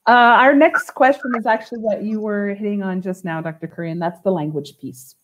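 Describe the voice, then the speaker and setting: A woman interviewing over a video call, talking haltingly with many restarts and small laughs. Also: medium pitch.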